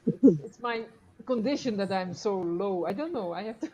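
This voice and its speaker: A woman's voice: medium pitch, expressive, normal speed, a crowd in the background. A woman from Belgium chatting casually on a livestream, hesitant and searching for words, picked up by a computer mic. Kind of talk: casual group livestream chat. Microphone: computer mic some distance away. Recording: faint noise, computer mic at distance.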